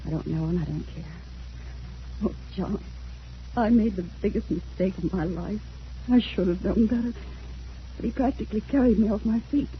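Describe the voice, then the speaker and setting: Actress doing a sick regretful mom, begging and self-blaming, old radio drama, muffled. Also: female voice, mid-range voice, normal speed, long pauses, lightly acted. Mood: bitter regret. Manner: pleading, melodramatic, self-reproachful. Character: ailing, remorseful, desperate mother pleading for her grown daughter. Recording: dull, noisy vintage radio recording.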